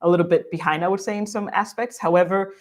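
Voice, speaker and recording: A woman's voice: mid-range voice, fairly even intonation, fast talker, a little mellow. Woman, from Guatemala, bubbly, laughs often, livestream co-host. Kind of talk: casual livestream chat, reading viewer questions.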